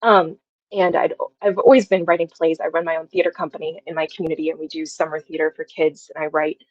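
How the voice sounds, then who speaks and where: Lower-pitched young woman, slightly muffled. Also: flat delivery, quick pace, dry recording. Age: young adult. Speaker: scriptwriter, interview guest. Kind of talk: casual, anecdotal interview talk.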